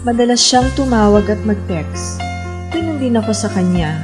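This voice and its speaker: A woman's voice: medium pitch, lightly acted. An actress with a Filipino accent playing a lovelorn young woman in a radio drama, over a music bed. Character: lovelorn young woman narrating her heartbreak, jealous girlfriend.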